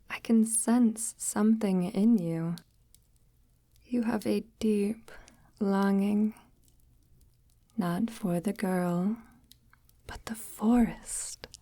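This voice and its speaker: A sinister forest witch, acted by a woman in an intimate audio roleplay, speaks extremely slowly in a mellow, breathy half-whisper. She is formal and deliberate, devout about her woods and condescendingly tender toward her captive. The sound is close and dry. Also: fairly high pitch, no echo. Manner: formal, measured, deliberate, gently patronizing. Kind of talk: ASMR audio roleplay, slow intimate monologue.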